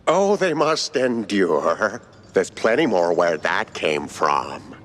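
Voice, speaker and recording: An eccentric, obsequious lab caretaker from a cartoonish game. He rambles theatrically at a slow pace, swooping up and down in pitch, his voice ringing with long reverb. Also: very animated, fairly bright tone, heavily acted. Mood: eager. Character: eccentric, servile male lab caretaker, gothic henchman. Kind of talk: cartoonish game cutscene acting.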